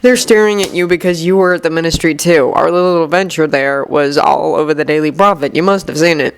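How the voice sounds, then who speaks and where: A woman's voice: low voice, fairly quick, a little hiss or hum, rough recording. A woman voicing a plain-spoken teenage boy wizard, matter-of-fact and level. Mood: calm.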